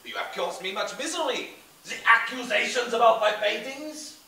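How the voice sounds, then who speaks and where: A man's voice: very high-pitched, lively intonation, normal speed, vocal fry, bright, forceful tone, full character voice. A man doing an oily fake-French con man. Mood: menacing. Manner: oily, menacing blackmailer. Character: smooth middle-aged male con man faking Frenchness. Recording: echoey room, mic far off, faint noise.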